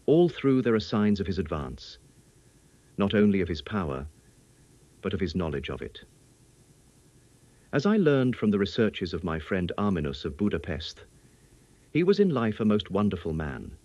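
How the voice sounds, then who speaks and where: A man performs a learned old professor from a gothic audiobook. He lectures gravely and earnestly in quaint phrasing, his pitch rising and falling widely. The sound is dry and slightly dull. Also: darkish tone, dry recording. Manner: emphatic lecturing in quaint, oddly ordered English. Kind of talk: audiobook character acting.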